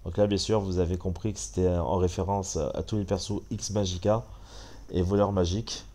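A man's voice: sits low, moderate pace. A man with a French accent and a stuffy head cold, chatting casually as he comments on a mobile game. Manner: relaxed, chatty, many fillers. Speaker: gaming channel host.

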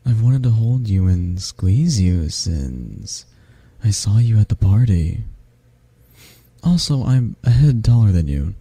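A man acts out a tall, affectionate half-dragon guy in an ASMR roleplay. His low voice is mellow and husky, right up close and dry. He takes his time, teasing and flirting gently. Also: very animated, slow, no room sound, full character voice. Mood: playful, cuddly. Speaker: roleplay voice actor.

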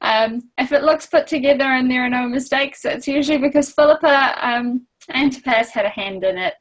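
A woman's voice: sits high, poor audio. New woman hosting a video call. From New Zealand. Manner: friendly, quick to laugh, uses fillers. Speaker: webinar host. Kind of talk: hosting a casual webinar interview. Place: small room at home. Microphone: earphone headset on a video call.

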